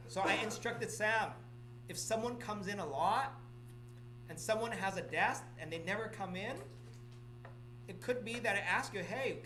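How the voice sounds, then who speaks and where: A man's voice: high-pitched, sharp tone, a little hiss or hum, rough recording. Middle-aged man coaxing staff, "okay?". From Vietnam. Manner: repetitive, coaxing, checks in with "okay?" often. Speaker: real estate office manager or broker. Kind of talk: office meeting announcements. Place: office meeting room.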